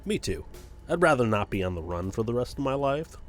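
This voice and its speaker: A man's voice: mid-range voice, big pitch swings, brisk, faint music behind, natural voice. A man playing a pragmatic, cynical cop on the run, speaking tersely in a radio play. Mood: wary, pragmatic.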